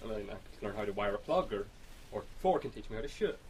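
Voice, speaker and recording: A man's voice: lowish voice, expressive, fast talker, darkish tone, lightly acted. A man acts out a self-doubting young survivor in his twenties. He speaks in a hesitant, stammering, self-deprecating way, in radio drama style, over faint noise and ambience. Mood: downcast. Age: 20s.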